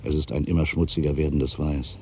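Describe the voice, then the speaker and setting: A man playing an ageing, solitary writer who broods on his past in a deliberate, reflective literary monologue. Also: very deep voice, little pitch movement, warm tone, a little hiss or hum. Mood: bitter, melancholy. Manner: brooding, deliberate, with pensive pauses. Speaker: monologue performer. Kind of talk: literary dramatic monologue, reflective first-person narration.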